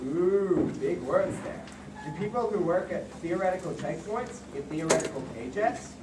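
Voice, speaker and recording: A distant, noisy recording holds a lively, swooping wisecracker acted by a man. He is a playful, childlike airport guard in a puppet show, quick with sarcasm. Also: sits high. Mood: skeptical, joking. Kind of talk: amateur church puppet show acting. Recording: distant echoey recording with loud noise.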